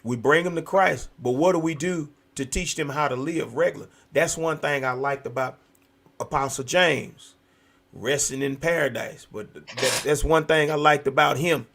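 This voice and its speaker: An American man with a fairly high voice hosts a talk show. He talks conversationally with a preaching streak, leaning hard on repeated phrases to make his point. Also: normal speed, gravelly, fairly bright tone. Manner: emphatic, repetitive. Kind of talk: animated conversational preaching and chat. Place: virtual video show.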